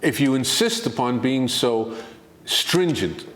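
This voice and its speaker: An older man as a guest expert in an interview, answering in a measured, argumentative way that builds to emphatic points. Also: medium pitch, very animated, a bit bright, reverberant.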